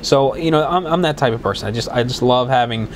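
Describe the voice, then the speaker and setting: A man's voice: mid-range voice, fast talker, slight background noise. A man with a head cold hosting his own podcast in a casual, rambling way, with many restarts and fillers. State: congested with a cold, voice slightly worn. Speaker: podcast host. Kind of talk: casual solo podcast talk.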